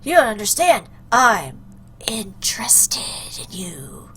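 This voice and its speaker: A woman hosting a casual let's play, commenting in an expressive, playful, joking way. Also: mid-range voice, slow, slight background noise. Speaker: host, gaming YouTuber. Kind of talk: casual let's play commentary, reading game lines aloud.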